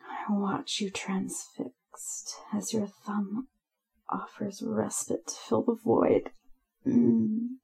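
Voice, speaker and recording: A woman narrating adult erotic audio slowly and intimately, with a breathy, husky voice that often drops to a whisper and lingers between sighs. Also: medium pitch, little pitch movement, low-quality recording. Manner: slow, hushed, lingering delivery broken by sighs and moans.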